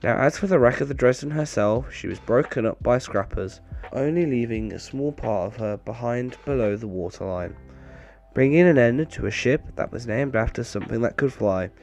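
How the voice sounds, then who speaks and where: A man presents in a dry, deadpan manner at an ordinary male pitch. His voice sounds a little off, as he is getting over a bad cold. Music plays behind him. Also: moderate pace, vocal fry. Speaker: video creator and narrator. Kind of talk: scripted narration with dry humour, casual outro.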